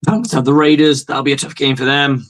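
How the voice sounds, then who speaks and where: A male host with a hoarse voice, giving a blunt, profane, rambling fan's reaction in a casual sports monologue. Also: mid-range voice, steady tone, fast talker, a bit bright.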